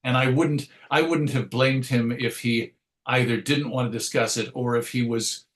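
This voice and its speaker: A man's voice: mid-range voice, steady tone, moderate pace. A man answering as an interview guest in a conversational way, thoughtful and inclined to explain things through analogies. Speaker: interview guest, writer and radio host.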